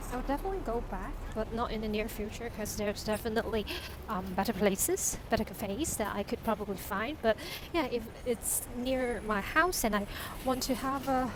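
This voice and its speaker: A woman whose first language is Cantonese, chatting casually to a vlog audience in a halting, self-correcting way. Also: on the high side, background noise. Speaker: vlogger and novelist.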